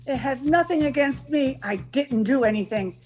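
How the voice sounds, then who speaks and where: A woman's voice: sits high, moderate pace, darkish tone, faint music behind, lightly acted. A woman playing a frightened, self-excusing accomplice, urgent and pleading, in a melodramatic old-time radio horror play. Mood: defensive denial.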